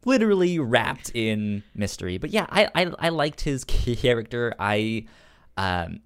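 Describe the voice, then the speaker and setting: A man's voice: mid-range voice, big pitch swings, unhurried, smooth. A man co-hosting a podcast, casually discussing comic books, with a stutter that repeats sounds and syllables. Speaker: podcast co-host.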